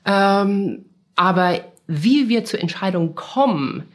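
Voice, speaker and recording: A woman's voice: medium pitch, animated, slow pace. A woman in her forties from Germany, answering interview questions conversationally, reflecting and feeling for her phrasing. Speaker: interview guest, international manager.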